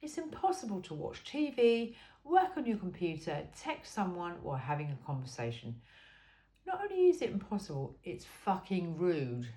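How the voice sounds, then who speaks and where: A woman's voice: on the low side, huge pitch swings, normal speed, warm tone. A woman reading an informal self-help book aloud in an emphatic, cheeky and frank way. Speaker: amateur reader of a book aloud.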